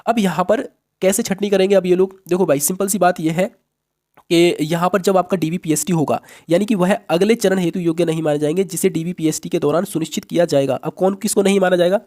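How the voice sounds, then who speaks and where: A man presents an explanatory update to his viewers. He talks very quickly in a somewhat high voice. His manner is teacherly and direct, with English words mixed in. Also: steady tone, a little mellow. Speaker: host of an exam-update channel.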